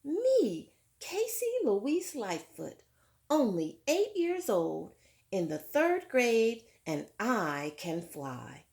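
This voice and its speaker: A woman's voice: fairly high pitch, huge pitch swings, extremely slow, a little mellow, voice pushed up, heavily acted. A woman reading a picture book aloud in the voice of a proud, dreamy eight-year-old girl telling her own story.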